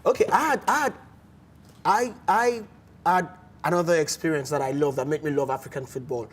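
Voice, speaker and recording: A man with a Nigerian accent hosting a casual sports talk show in a studio, animated and often restarting his words. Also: on the high side, brisk, slightly rough. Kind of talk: casual studio chat with phone-in.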